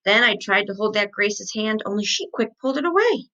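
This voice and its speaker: Animated chatty little girl played by a woman. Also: medium pitch, fairly quick, dull audio. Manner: emphatic, childish phrasing. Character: chatty, indignant kindergarten girl telling her story.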